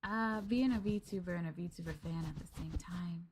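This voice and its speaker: A woman's voice: on the low side, normal speed, a little mellow, a little hiss or hum. A woman streamer chatting casually with her viewers, addressing them as Masters and filling her talk with ums and likes. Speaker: VTuber streamer.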